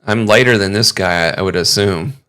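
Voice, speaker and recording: A man casually chatting as host of a livestream, rambling and halting in an amused way. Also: sits low, bright, forceful tone, clean tone. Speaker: livestream host reacting to videos.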